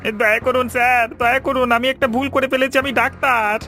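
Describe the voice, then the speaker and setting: A man's voice: very high voice, little pitch movement, fairly quick, sharp tone, faint music behind, pitched above the performer's own voice, exaggerated voice. A man voicing a panicky, corrupt cartoon doctor in a pleading whine. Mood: desperate pleading. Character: panicky corrupt male doctor, greedy, begging for mercy. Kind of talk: cartoon dialogue.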